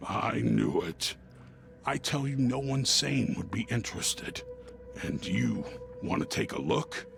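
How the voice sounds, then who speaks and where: Cranky innkeeper, slow and super gravelly, scolding. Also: male voice, fairly low pitch, lively intonation, music in the background, full character voice. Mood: grumbling. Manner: scolding, contemptuous. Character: grumpy suspicious male innkeeper. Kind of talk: video game cutscene acting.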